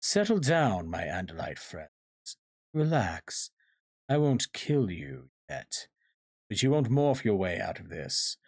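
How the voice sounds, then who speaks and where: Menacing alien warlord for a story reading, played by a man: unhurried, mocking, gloating, on a dry recording. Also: low-pitched, very animated, dry recording, full character voice. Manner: slow, drawn-out, taunting.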